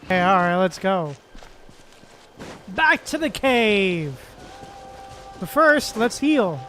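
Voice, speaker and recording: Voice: mid-range voice, big pitch swings, takes it slowly, pushed and bright. A streamer giving casual, slangy, laid-back commentary while playing a video game. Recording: game music behind the voice.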